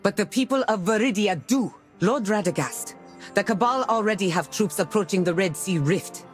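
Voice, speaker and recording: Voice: medium pitch, animated, moderate pace, soft background music, natural voice. An actor playing a stern, proud envoy warrior in a video game, speaking formally and curtly. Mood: formal, urgent. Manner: formal, curt, commanding. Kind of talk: dramatic video game cutscene acting.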